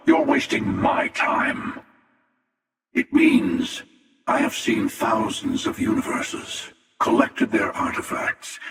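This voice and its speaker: Give the game a menacing, cynical hunter of many universes. His gravelly voice moves slowly and sardonically, as if every line is a taunt. It rings through a big, cavernous reverb and sounds set back from the mic. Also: extremely high pitch, a bit bright, recorded from a distance, exaggerated voice. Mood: menacing, confident. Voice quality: gravelly, creaky. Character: cynical, menacing, world-weary male interdimensional hunter. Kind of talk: video game character voice lines.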